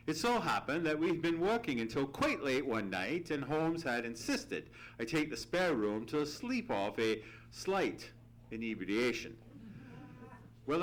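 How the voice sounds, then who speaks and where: A man playing a genial, fussy English gentleman storyteller in a hearty, theatrical old-time radio drama style. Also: a little high, rough recording. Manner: hearty, theatrical, repeats phrases. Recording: live theatre stage recording.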